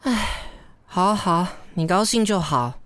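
A woman's voice: lowish voice, animated, slow, fairly bright tone. A woman playing an exasperated, dryly bossy office secretary in a radio drama. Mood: exasperated, sardonic. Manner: dry, bossy, world-weary. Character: brisk, exasperated, sardonic female office secretary.

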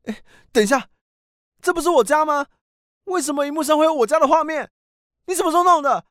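A man's voice: very high voice, fast, a bit bright, no echo, studio quality. A sulky teenage boy. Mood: shocked. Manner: irritable, snapping, whiny. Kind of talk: radio drama acting.

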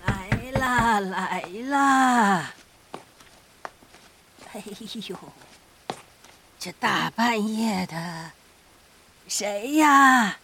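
A woman in an audio drama playing a sly, greedy elderly shopkeeper who drawls and teases with quiet menace. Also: mid-range voice, expressive, noisy background. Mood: drowsy, grumbling. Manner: slow, drawling, teasing, chuckling menace.

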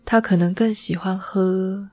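A woman's voice: medium pitch, fairly even intonation, measured pace, lightly acted. A woman playing a reserved, composed female bar manager in an audio drama, speaking tersely with a Taiwanese Mandarin accent. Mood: composed, wry. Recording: slightly dull audio with music.

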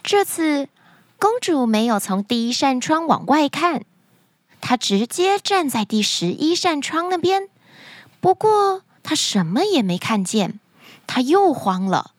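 A woman tells stories for children with dramatic expression. Her voice is high and swings widely in pitch. The recording is dry and close. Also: very animated, measured pace, no echo. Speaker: children's story podcast host. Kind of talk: animated scripted storytelling for children.

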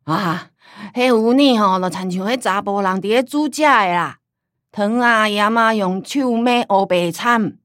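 Woman playing old Taiwanese granny, forgetful, stubborn, nostalgic, mid-pitched, radio play, dry studio sound. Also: unhurried, a bit bright, no echo, close to an everyday voice. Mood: animated, chatty. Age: older. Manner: rambling, folksy storytelling with sighing interjections. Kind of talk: radio drama acting in Taiwanese Hokkien.

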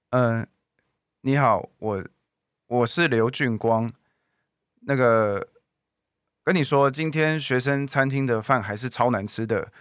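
A young man with a Taiwanese accent acting a shy, earnest, halting student in an audio drama. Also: medium pitch, fairly bright tone, clear, resonant tone, no echo, studio quality. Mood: awkward, earnest. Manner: halting, awkward. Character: shy, awkward, earnest lovesick male student.

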